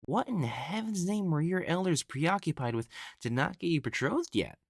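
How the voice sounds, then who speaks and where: A man's voice: fairly high pitch, animated, normal speed, vocal fry, slightly rough, no echo, studio quality, heavily acted. A man acting in a scripted audio roleplay as an elegant, pompous, easily exasperated young matchmaker of ancient China, speaking in formal, old-fashioned phrases. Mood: astonished.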